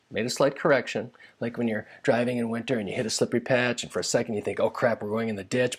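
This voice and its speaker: A man reads his own humorous first-person essay in a wry, conversational, self-deprecating way. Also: medium pitch.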